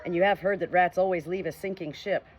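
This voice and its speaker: A timid male ship rat in a storybook tale, earnest and a little nervous, clearing his throat as he warns of danger, voiced by a woman reading aloud. His voice sits low and soft. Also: fairly even intonation, fast, lightly acted. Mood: earnest warning.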